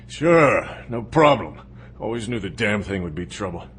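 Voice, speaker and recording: An actor playing an edgy, slippery crime boss bargaining under threat in an audiobook drama, gravelly and husky. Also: male voice, fairly low pitch, very animated, moderate pace, a bit bright, slight background noise. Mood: cornered.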